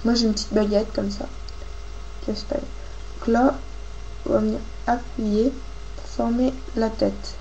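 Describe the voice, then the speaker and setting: A woman with a cold gives a casual, halting hands-on craft tutorial, thinking aloud as she works. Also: on the high side, little pitch movement, a little mellow, muffled recording. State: has a cold, coughing. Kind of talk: casual hands-on tutorial, talking while working. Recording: clearly noisy homemade recording with clicks.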